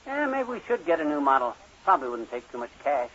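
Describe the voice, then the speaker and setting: An actor playing a stubborn, folksy, penny-pinching husband in an old-time radio comedy, blustering and arguing. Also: male voice, on the high side, very animated, quick pace, a bit bright, breathy edge, full character voice. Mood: breezy, excuse-making. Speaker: comic lead in a radio sitcom. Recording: dull vintage radio recording.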